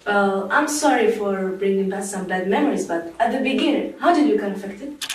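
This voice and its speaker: A woman's voice: mid-range voice, animated, moderate pace, slight background noise, close to an everyday voice. A woman playing a talk show host, introducing guests and asking questions in a formal, announcing way, recorded far off in an echoey room. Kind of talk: scripted talk show hosting.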